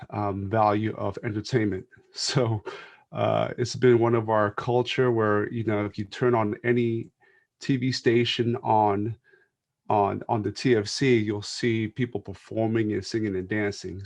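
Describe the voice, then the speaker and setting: A man's voice: on the low side, vocal fry, darkish tone. A Filipino American man sharing casually as a panel guest, easygoing and chuckling, with many fillers. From United States, Filipino American. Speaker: church worship team member, panel guest.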